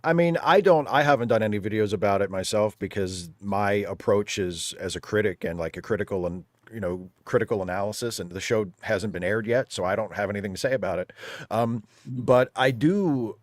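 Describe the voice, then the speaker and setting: Low voiced guy on a livestream panel, dry sound, casual but emphatic commentary. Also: a little fast, no room sound. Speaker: panel guest, video critic.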